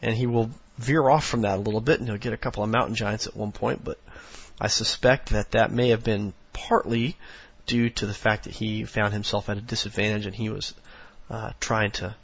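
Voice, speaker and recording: A hoarse, sniffly man with a raspy voice, rambling through casual strategy commentary with lots of fillers. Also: on the low side, steady tone, a little fast, dull audio. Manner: rambling, many fillers, instructional. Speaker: game commentator.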